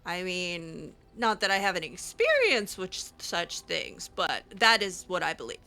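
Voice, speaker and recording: Bright-voiced woman improvising in character. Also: lowish voice, expressive, normal speed. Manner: hesitant, informal, conversational. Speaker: gamer role-playing her own character. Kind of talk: improvised in-character chat over gameplay.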